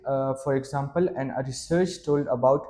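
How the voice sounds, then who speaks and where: Hesitant young man with mellow voice. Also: medium pitch, moderate pace. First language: Pashto. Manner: hesitant, many fillers and restarts. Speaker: test candidate. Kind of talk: mock speaking exam answers.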